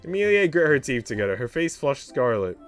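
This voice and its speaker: A man's voice: medium pitch, sing-song, brisk. A man streaming a visual novel, reading its text aloud and adding casual asides, with music playing behind him.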